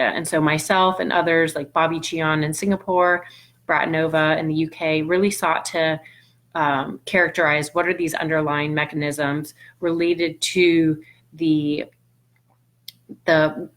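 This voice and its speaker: A middle-aged woman from Puerto Rico, a nutrition scientist answering audience questions on a livestream, thoughtful, with many ums and restarts. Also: low voice. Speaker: nutrition scientist hosting a live Q&A.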